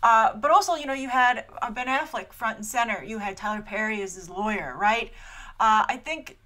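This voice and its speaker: A woman in her thirties hosting a casual trailer reaction, animated and chatty, laughing often, filmed on a webcam in a small room. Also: fairly high pitch, normal speed. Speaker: movie trailer reviewer host.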